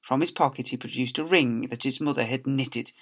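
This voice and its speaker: A man reads a children's storybook aloud in a mid-range voice. He has a teacher's delivery, expressive and theatrical, with playful emphasis for a young audience. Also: medium pitch, brisk, slight background noise.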